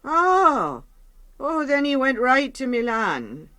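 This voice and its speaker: A woman's voice: high-pitched, big pitch swings, slow, sharp tone, no room sound, studio quality, full character voice. A woman playing a chatty, soothing older aunt who keeps a shop, in a radio drama. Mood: reassuring.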